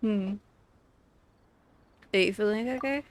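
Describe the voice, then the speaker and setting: A woman's voice: mid-range voice, slow pace. A woman live-streaming a game with casual commentary, teasing and wry in manner. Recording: game music behind the voice.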